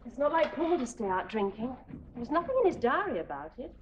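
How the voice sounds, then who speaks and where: A woman in her thirties playing a cheerful young wife, amused and gently wry about her husband. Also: a little high, big pitch swings, a little fast. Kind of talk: 1980s sitcom acting. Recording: dull lo-fi TV audio with loud hiss.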